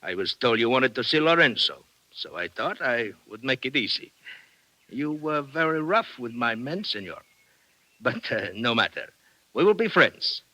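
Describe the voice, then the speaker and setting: A suave, vain Sicilian bandit chief in a radio drama. His voice is very bright and forceful, with an Italian accent and a close, dry sound. He speaks in florid courtesies that carry a threat. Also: medium pitch, sing-song, moderate pace, dry recording, low-quality recording. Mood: smooth, amused. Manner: florid, mock-courteous, theatrical. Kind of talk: old-time radio drama acting.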